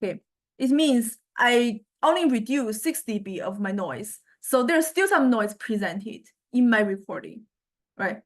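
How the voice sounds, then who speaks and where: Woman, slightly high voice, lecturer, tutorial explanation, halting, frequent check-ins. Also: lively intonation. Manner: hesitant, halting delivery with repeated check-ins.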